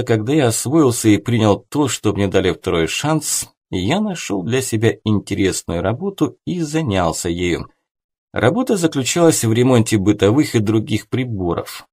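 A man's voice: on the low side, a little mellow. A man reading a scripted first-person story as an audiobook narrator.

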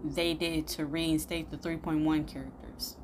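A woman's voice: sits low, flat delivery, unhurried. A young woman giving casual, blunt, slangy reaction commentary as a video host, recorded indoors on a phone. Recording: clearly noisy room recording.

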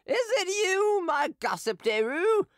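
A reader voicing a drunken, staggering female street hawker who shouts and jeers. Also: big pitch swings, slow pace, dry recording, clean studio sound, exaggerated voice. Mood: jeering. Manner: shrill, jeering and shouting.

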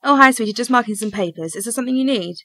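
A woman's voice: on the high side, rapid-fire, a bit bright, dry recording, clean studio sound. A woman playing a breezy, sweet-talking wife who is cheating on her husband in an amateur radio drama. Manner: sweet, breezy, then scornful. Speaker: schoolteacher.

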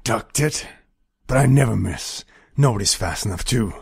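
A man's voice: on the low side, lively intonation, normal speed, gravelly, husky, dry recording, studio quality, voice pushed up, full character voice. A man playing a cocky, menacing comic-book assassin in a character demo. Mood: cocky, threatening.

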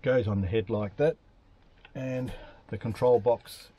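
A man's voice: medium pitch, fairly even intonation, measured pace, warm tone, a little hiss or hum. A man in his fifties with an Australian accent, explaining things casually and matter-of-factly in a vlog, with some hesitation. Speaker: off-road camping vlogger. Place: inside a parked truck cab in the bush.

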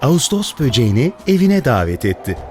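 A man's voice: mid-range voice, sing-song, brisk, darkish tone, a little hiss or hum. A man narrating a scripted children's fairy tale as a storyteller. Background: music.

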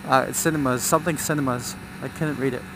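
A man's voice: mid-range voice, steady tone, fast, background noise. A man giving casual, rambling commentary while riding a motorcycle, thinking aloud as he goes. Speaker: motorcycle vlogger. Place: outdoors, riding a motorcycle on city roads.

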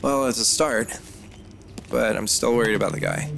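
An actor playing a curious, excitable friend on an outing, in naturalistic audio drama dialogue. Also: medium pitch, moderate pace, vocal fry, noisy background, close to an everyday voice. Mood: casual. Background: music.

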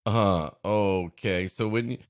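A man's voice: lowish voice, slow pace, no room sound, clean studio sound. A man co-hosting a podcast, making improvised, wry and mock-serious comic remarks on a story. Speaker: podcast co-host.

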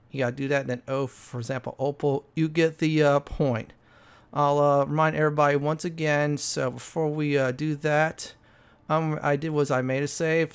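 A man giving casual, halting, explanatory game walkthrough commentary as a gaming host. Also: fairly high pitch, clean tone.

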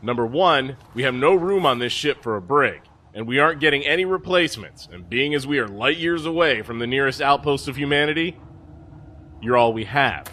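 Man acting as sardonic, bright-voiced spaceship captain. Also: mid-range voice, expressive, a little fast, a little hiss or hum. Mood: stern, threatening. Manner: mocking, folksy, commanding. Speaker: audio drama actor. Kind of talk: audio drama acting.

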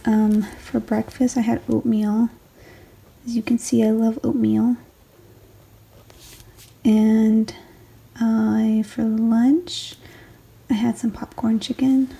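Young mom vlogger, raspy and gravelly, a little high, soft rambling tone. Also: female voice, level tone, slow pace, long pauses, poor audio. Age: 20s. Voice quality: raspy, late-night voice. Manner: rambling, self-correcting, soft. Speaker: planner vlogger, stay-at-home mom. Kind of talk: casual late-night planner walkthrough. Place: home desk, filmed late at night. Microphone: overhead camera on the desk.

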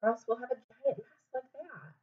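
A woman in her twenties reading aloud to young children like a teacher, asking them simple questions in an animated way. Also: on the high side, moderate pace, slightly rough. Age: 20s. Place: small home room, indoors. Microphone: webcam or laptop mic at arm's length. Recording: dull webcam sound, distant mic.

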